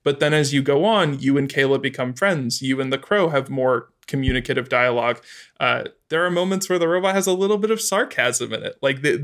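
A man's voice: mid-range voice, a bit bright. Man chatting as an interview guest. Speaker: guest, audio drama adapter. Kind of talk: interview, casual conversation.

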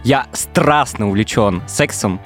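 A man's voice: medium pitch, lively intonation, unhurried, a bit bright, faint music behind. A man in his twenties co-hosting a podcast, chatting casually in a joking, playful way. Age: 20s. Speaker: podcast co-host. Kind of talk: casual chat. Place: indoor room.

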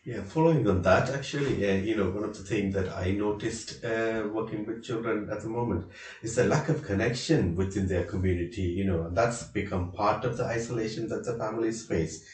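A man's voice: on the low side, brisk, darkish tone, recorded from a distance. A man in his fifties from Sri Lanka with a Sri Lankan accent, a children's support worker speaking earnestly on a podcast panel. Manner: earnest, with "uh" and "you know" fillers. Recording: echoey room, away from the mic.

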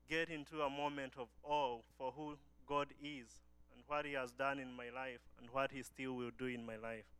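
A man's voice: a little high, bright, forceful tone, clean tone, no echo. A young man from Uganda giving an earnest church testimony as a guest speaker, often restarting his phrases.

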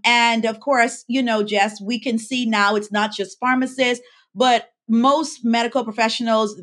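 A woman from the Bahamas, a pharmacist and life coach, telling her story as a podcast guest and acting out the quoted lines. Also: a little high. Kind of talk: casual interview chat.

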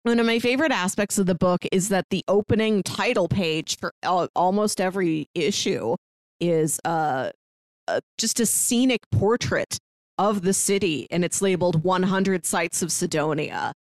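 A woman's voice: on the low side, moderate pace, no echo. A woman co-hosting a podcast, chatting casually about a book. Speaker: podcast co-host.

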